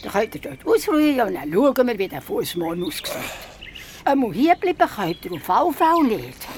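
A woman's voice: a little high, very animated, normal speed, darkish tone, a little hiss or hum. A woman playing a small, fit old mountain woman with a Swiss accent, mothering, eerily cheerful and fussing with little interjections in a radio play. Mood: concerned, practical. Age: older.

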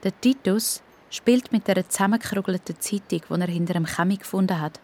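A woman's voice: medium pitch, brisk, a little mellow. Swiss woman narrating a children's story.

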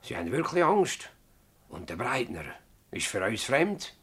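A man playing a self-important farmer and village mayor in a Swiss German radio play, halting, complaining and self-justifying. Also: medium pitch, sing-song, a bit bright, breathy edge, exaggerated voice. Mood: defensive. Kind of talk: dialect radio drama acting.

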